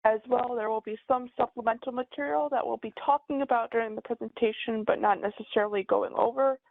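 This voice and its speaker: A woman housing counselor opening a webinar with a measured, scripted welcome. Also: on the high side, fairly even intonation, creaky, no room sound. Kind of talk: webinar presentation. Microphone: video call. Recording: tinny phone-like line.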